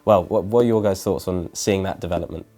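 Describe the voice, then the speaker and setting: A man's voice: deep voice, steady tone, fast talker, mellow. A man working as an interviewer, asking questions and explaining things in a prepared, informative way. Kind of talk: interview questions and scripted introduction.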